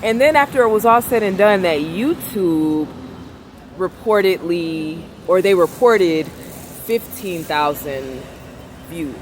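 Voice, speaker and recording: Woman, velvety raspy voice, soft-spoken, rambling vlog talk, outdoors on a street, faint traffic behind. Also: fairly low pitch, expressive, slow pace. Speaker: vlogger. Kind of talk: casual monologue.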